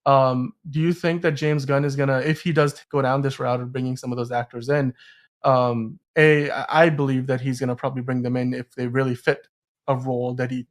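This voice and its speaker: Male podcast host, conversational and wordy, mid-pitched voice, circles around his point. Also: level tone, fairly quick. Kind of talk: casual movie discussion.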